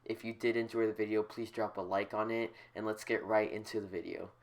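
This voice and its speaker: A man's voice: lowish voice, little pitch movement, brisk, creaky. A congested man hosting a casual soccer talk show, explaining tactics and giving opinions at length. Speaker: host.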